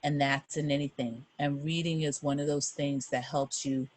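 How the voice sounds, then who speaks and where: A woman's voice: low voice, little pitch movement, normal speed, darkish tone. A middle-aged woman talking as a guest reader to children and families, explaining things in an earnest, encouraging and emphatic way.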